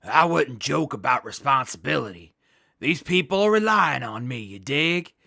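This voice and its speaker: An actor in an audio drama playing an earnest hepcat military captain who talks in jive beatnik slang. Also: male voice, mid-range voice, very animated, normal speed, creaky, pushed and bright, no room sound, studio quality, full character voice. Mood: proud, sincere.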